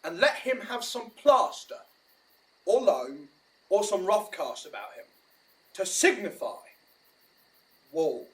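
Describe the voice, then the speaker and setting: A man's voice: high-pitched, very animated, slow pace, long pauses, distant mic, full character voice, rough recording. A man playing a bumbling, self-important amateur actor in a Shakespearean audio drama, declaiming pompously. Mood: earnest, scheming.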